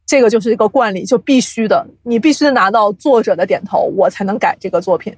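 A woman speaking casually as an interview guest, explaining things in an animated, chuckle-prone way like an industry insider. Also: mid-range voice, fast talker, dry recording. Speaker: guest, audio drama producer and company CEO.

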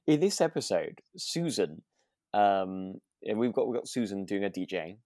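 A woman's voice: extremely low pitch, sing-song, moderate pace, dark tone, no echo, clean studio sound. A British woman co-hosting a podcast, chatting casually in an agreeable, rambling, hesitant way. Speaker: podcast co-host. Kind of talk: casual chat reviewing a radio drama.

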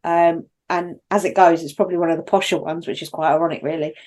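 A woman's voice: fairly low pitch, fairly even intonation, fast, darkish tone. A woman with a London accent, co-hosting a podcast in casual, rambling chat and laughing often. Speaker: podcast co-host.

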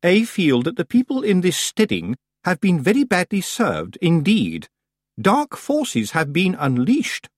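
Male narrator as a haughty high-pitched lady witch. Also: huge pitch swings, unhurried, dry recording, clean studio sound, exaggerated voice. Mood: pompous, grave. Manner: over-polite, affected, pompous. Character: haughty, primly formal middle-aged female witch.

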